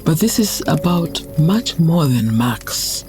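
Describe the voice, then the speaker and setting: A man playing a wise, solemn old community leader in a motion comic drama, measured and reflective. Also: a little high, very animated, music in the background. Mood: philosophical. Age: older.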